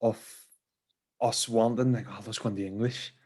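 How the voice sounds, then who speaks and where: A man's voice: on the low side, steady tone, moderate pace, a little mellow, breathy edge. An Irish man with an Irish accent hosting an interview. He talks in an easygoing, chatty way and adds light asides. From Ireland. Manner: casual, laughs easily, chatty asides. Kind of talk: conversational interview.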